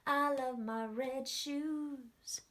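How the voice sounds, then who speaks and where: A woman's voice: high voice, fairly even intonation, drawn-out words, very noisy. A woman voicing a cheerful, easygoing male cartoon cat from a storybook, chanting his little song in a sing-song way. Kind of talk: storybook read-aloud.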